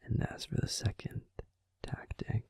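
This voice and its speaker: A man's voice: measured pace, no room sound, lightly acted. A man voicing a grumpy but tender male werewolf boyfriend in a whispered bedtime audio roleplay, fussing protectively and then soothing. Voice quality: gruff and soft whispering.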